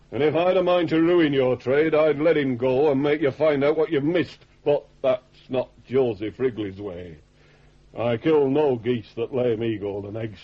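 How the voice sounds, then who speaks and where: A man's voice: mid-range voice, natural voice. An actor playing a shrewd, vengeful village father with a Northern English accent in a radio drama, dry and pointed. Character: wily, vengeful village father of the bride, Joe Wrigley. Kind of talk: early-1980s British radio drama acting. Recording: dull, band-limited old radio broadcast.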